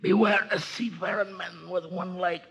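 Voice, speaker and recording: Dying sea captain: high, husky, gasping and urgent, storybook adventure record. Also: male voice, steady tone, normal speed, a bit bright, heavily acted. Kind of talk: dramatised storybook record acting.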